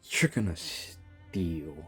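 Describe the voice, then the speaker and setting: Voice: low-pitched, animated, extremely slow, faint music behind. A gamer giving casual reaction commentary on a game story, bantering in a teasing, exasperated way.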